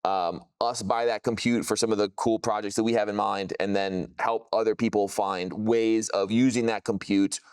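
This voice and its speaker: A man with a smooth, fairly low voice presents a software product informally. The sound is dry, with little room in it. He explains in a casual way, leaning on technical jargon. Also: steady tone, normal speed, hardly any pauses, dry recording.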